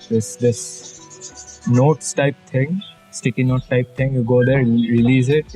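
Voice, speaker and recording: A young Indian man with a Bengali English accent talks through a screen-recorded editing tutorial. His voice is mellow and dark, at an ordinary pitch, with faint music under it. He rambles a little, jokes with viewers and sprinkles in fillers. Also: poor audio. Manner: chatty, jokey asides, many fillers. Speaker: YouTube tutorial host. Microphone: budget external mic.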